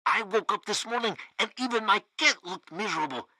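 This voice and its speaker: Bombastic, bright-voiced propaganda radio host. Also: male voice, high-pitched, animated, brisk, dry recording, full character voice. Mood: exasperated, cheerful. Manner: theatrical, bombastic. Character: pompous, fanatically loyal male propaganda radio host. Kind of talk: satirical radio news broadcast.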